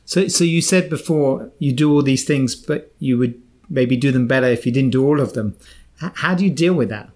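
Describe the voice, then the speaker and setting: A man hosting an interview podcast, asking questions in a halting way with frequent restarts. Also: medium pitch, a little mellow. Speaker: podcast host.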